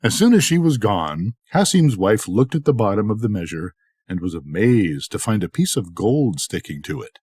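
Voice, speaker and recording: An older man reading a scripted bedtime story in an expressive, measured storytelling manner. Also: lowish voice, slightly rough, dry recording, clean studio sound. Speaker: storybook reader.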